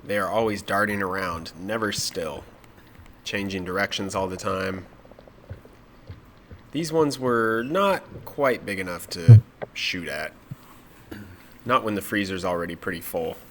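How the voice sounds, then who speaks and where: A man with a cold and a stuffed-up nose, narrating his spearfishing dive footage in a relaxed, matter-of-fact way. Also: low-pitched, a little slow, long pauses, slight background noise. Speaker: spearfishing vlogger.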